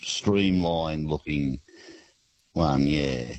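A man's voice: extremely low pitch, very slow, a little mellow, low-quality recording. A man calling a radio quiz, casual and hesitant, chuckling as he talks. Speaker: caller.

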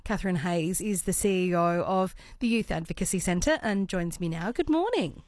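Hoarse Australian woman radio host. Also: lowish voice, lively intonation, normal speed, a little mellow, no echo, clean studio sound. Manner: chatty, friendly, with some ums and uhs. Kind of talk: morning talkback radio. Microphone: studio microphone.

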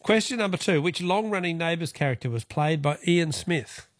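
A man's voice: on the high side, expressive, dry recording, studio quality. A man with an Australian accent hosting a live phone-in radio quiz in a chatty, jokey manner. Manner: chatty, jokey, quick to chuckle.

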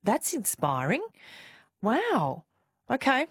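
Upbeat Australian female radio host, lively and warm on air, dry close studio mic. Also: a little high, takes it slowly, slightly rough, no echo. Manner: bubbly, enthusiastic. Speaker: radio presenter. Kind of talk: live radio talk show. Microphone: studio microphone.

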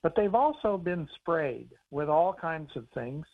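A man's voice: a little high, sing-song, measured pace, gravelly, slightly rough. A man with an American accent, an author and conservation biologist, explaining things in an easygoing way during a radio phone interview. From United States. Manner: easygoing, explanatory, chuckles now and then. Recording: tinny phone line.

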